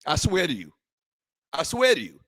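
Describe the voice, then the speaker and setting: A man hosting a sports talk show, fervent and emphatic, repeating phrases and rising to shouts, his voice a little hoarse. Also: fairly high pitch, huge pitch swings, normal speed, no room sound, clean studio sound. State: slightly hoarse, voice worn from shouting. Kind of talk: impassioned sports talk monologue.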